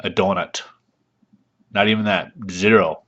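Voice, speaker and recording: A congested man hosting a daily market report, talking loosely with many fillers and pauses. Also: low-pitched, steady tone, a bit bright, muffled recording. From United States. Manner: loose, chatty, many ums and uhs. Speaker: mortgage market report host. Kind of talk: casual daily market commentary.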